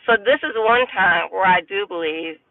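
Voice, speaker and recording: A middle-aged woman talks through a casual opinion monologue on a livestream, pausing and restarting often as she works out her point. Her voice comes through thin and phone-like, with the narrow band of a cheap line. There is almost no room sound, so it stays close and dry. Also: medium pitch, no room sound. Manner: rambling, halting, opinionated, many fillers. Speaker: livestream host. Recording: tinny phone-like dry audio.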